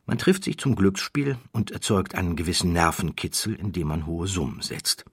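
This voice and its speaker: A male audiobook narrator with a deep, very breathy and husky voice. He reads literary prose in measured, dramatic phrases, recorded dry and close. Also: lively intonation, no echo.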